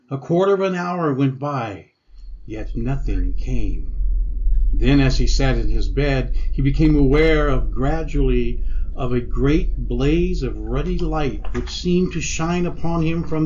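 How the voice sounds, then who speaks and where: A man narrating a radio drama like a storybook, with deliberate, relishing delivery. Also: mid-range voice, expressive, darkish tone. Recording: dull lo-fi audio, noisy.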